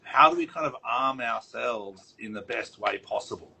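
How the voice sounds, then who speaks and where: A man's voice: mid-range voice, expressive, moderate pace, vocal fry, sharp tone, a little hiss or hum, rough recording. A man hosting a casual interview, talking in a loose, rambling and joking way over a video call. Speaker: host.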